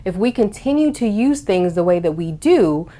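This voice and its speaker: A woman with a very lively, swooping pitch hosts storytime for young children. She explains and reads aloud expressively, leaning on key words and drawing them out. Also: moderate pace. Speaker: host of a children's storytime show.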